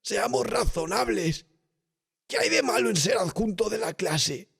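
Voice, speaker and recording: Panicked young student, gravelly, wildly swinging pitch, desperate, horror audiobook. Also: male voice, lively intonation, unhurried, a bit bright, heavily acted. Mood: anguished, desperate. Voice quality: hoarse, husky. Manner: desperate, faltering. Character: anguished, frightened young male medical student. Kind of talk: audiobook horror story acting.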